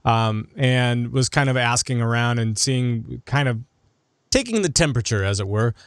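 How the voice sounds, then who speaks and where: Smooth, clear-voiced man hosting gaming chat. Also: mid-range voice, expressive, normal speed, no echo, clean studio sound. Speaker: podcast host. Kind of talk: casual gaming discussion.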